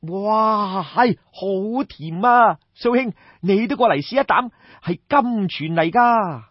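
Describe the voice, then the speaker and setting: Man voicing a brash, high-pitched Cantonese schemer. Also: expressive, takes it slowly, slightly rough, dry recording, lo-fi sound, heavily acted. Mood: eager, persuasive. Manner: exclamatory, coaxing, colloquial. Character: brash, crafty, fast-talking young male schemer.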